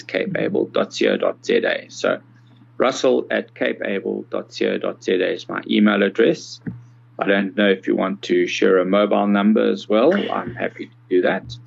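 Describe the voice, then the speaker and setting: Older south african guy in his 60s, gravelly voice, explaining stuff in an interview, kinda muffled recording. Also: fairly low pitch. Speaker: interview guest, accessibility consultant. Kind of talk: conversational interview answers.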